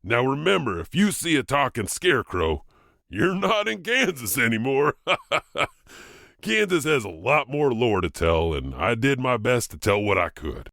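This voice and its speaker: A man's voice: medium pitch, very animated, unhurried, gravelly, a bit bright, dry recording, studio quality. A man hosting and narrating spooky legends in a theatrical, tongue-in-cheek scripted storytelling style. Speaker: host and narrator of horror anthology podcast.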